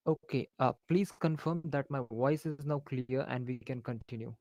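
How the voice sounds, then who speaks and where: A man's voice: mid-range voice, normal speed, darkish tone, dry recording. A man with an Indian accent teaching maths in an online class over a headset mic. He hesitates often, fills gaps with "uh", and slips between English and Hindi mid-sentence. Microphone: faulty headphones on an online call, voice low.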